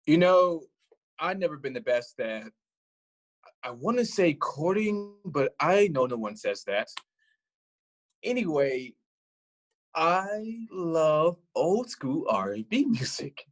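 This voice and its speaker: An actor playing a self-deprecating young romantic in a stage monologue, speaking in an earnest, halting, rambling way. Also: male voice, a little high, big pitch swings. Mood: wistful, eager. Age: young adult. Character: lovelorn, self-deprecating romantic young man, stubborn dreamer. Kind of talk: theatrical monologue to the audience.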